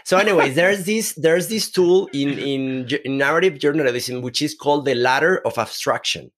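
Halting Mexican-accented podcast guest. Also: male voice, a little high, fairly bright tone. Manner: halting, many restarts, apologetic. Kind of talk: conversational interview.

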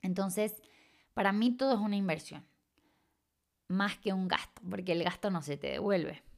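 A woman's voice: mid-range voice, moderate pace. A young woman from Chile with a Chilean Spanish accent, hosting a reflective solo podcast and sometimes stretching words or dropping to a whisper for emphasis. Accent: Chilean Spanish, slightly mixed. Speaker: podcast host and coach.

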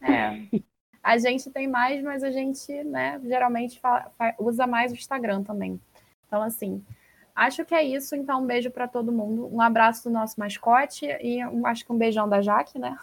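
A woman with a Brazilian accent hosting a casual, informal book podcast in an animated, slangy way. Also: a little high, normal speed, creaky. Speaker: podcast host. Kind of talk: casual chat about books.

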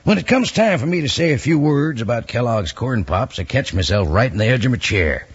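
An American man doing a hearty, folksy 1950s radio sales pitch in cowboy patter. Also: lively intonation, brisk, husky. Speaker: announcer and sponsor pitchman. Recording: muffled old radio broadcast.

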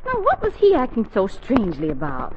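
An actress playing a suspicious, inquisitive middle-aged wife in a 1940s radio comedy, probing and dramatic, on a muffled, hissy old broadcast. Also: female voice, on the high side, huge pitch swings, fairly quick, darkish tone. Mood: suspicious, dismayed.

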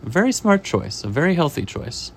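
A man's voice: on the high side, very animated, normal speed, gravelly, a little hiss or hum, pitched above the performer's own voice. A man voicing a flustered, exasperated restaurant chef who is eager to please, in a storybook reading. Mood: eager, awkward.

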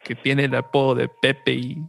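A man's voice: mid-range voice, monotone, moderate pace, gravelly, a bit bright. A man with a Mexican accent hosting a podcast, talking and reading in an informal way with self-corrections. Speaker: podcast host. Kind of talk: casual chat and reading aloud. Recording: music behind the voice. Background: music.

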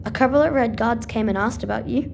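Creaky-voiced, doubting young boy. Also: fairly high pitch, fast, a little hiss or hum. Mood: suspicious, hurt. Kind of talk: audio drama acting. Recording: clear music underneath.

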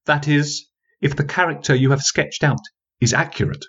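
A man voicing a sharp, skeptical young woman lawyer who speaks in a cutting, coolly dismissive way. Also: a little high, steady tone, normal speed, slightly rough, dull audio, voice pushed up.

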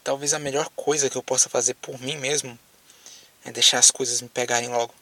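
A man acting in an audio drama as a lonely, frightened apocalypse survivor with a Brazilian accent, speaking haltingly as if on a phone call. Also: medium pitch, fairly even intonation, bright, forceful tone, no room sound, distant mic. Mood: resigned despair. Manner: hesitant, halting, repeats words.

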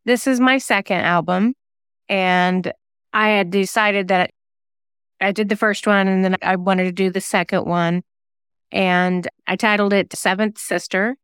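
A woman's voice: mid-range voice, steady tone, measured pace, fairly bright tone, smooth, no echo, studio quality. A woman who writes songs, chatting casually as an interview guest. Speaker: singer-songwriter guest.

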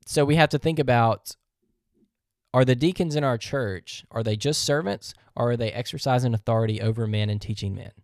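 A man's voice: medium pitch, darkish tone, no room sound, studio quality. A man hosting a podcast, giving an earnest, methodical scripture teaching monologue with rhetorical questions and verses read aloud. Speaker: podcast host.

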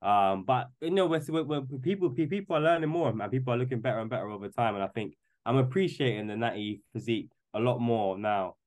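A man's voice: medium pitch, expressive, fast, hardly any pauses, a little mellow. A man in his twenties in casual podcast chat, rambling and often stumbling over repeated words as he starts a thought. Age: 20s. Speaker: podcast guest.

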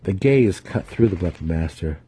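A man narrates a fantasy audiobook in a dramatic storytelling style. His voice is deep and dark, with a husky edge. The reading is scripted and theatrical. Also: lively intonation, normal speed.

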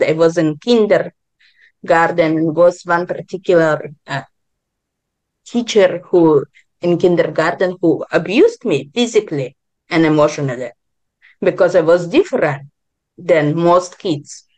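A woman from Israel, born in Ukraine, answering interview questions in deliberate, halting, non-native phrasing. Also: on the low side, a little mellow, low-quality recording. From born in Ukraine, grew up in Israel.

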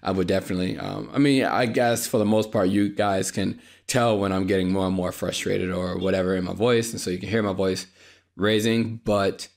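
Low gravelly young man, halting and tired. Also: steady tone, a little mellow. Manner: halting, apologetic, many fillers and restarts. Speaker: podcast host. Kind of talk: unscripted solo podcast monologue. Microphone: podcast microphone.

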